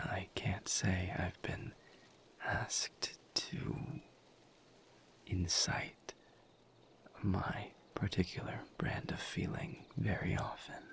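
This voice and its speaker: Man acting a very slow, whispering gentle demon. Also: low-pitched, exaggerated voice. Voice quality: hushed, breathy near-whisper. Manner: measured, deliberate, formal phrasing with long pauses. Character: calm, gentle, formal male misery demon. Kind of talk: soft-spoken roleplay audio, intimate monologue to listener.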